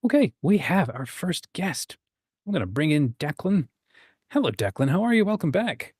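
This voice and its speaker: A man's voice: a little high, sing-song, moderate pace, a little mellow, slightly rough, dry recording, clean studio sound. A man hosting a livestream in a casual, informal and encouraging chatty way. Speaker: host of a writing community livestream.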